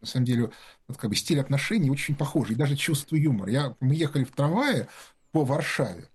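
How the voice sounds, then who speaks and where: A man's voice: medium pitch, animated, fast, darkish tone, slightly rough. A man telling rambling anecdotes in casual talk-show conversation, full of fillers and restarts. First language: Russian.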